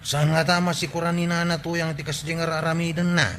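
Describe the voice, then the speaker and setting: A man's voice: on the high side, little pitch movement, a little fast, a bit bright, a little hiss or hum. A man playing a concerned younger villager in an Ilocano comedy radio drama. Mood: gossiping.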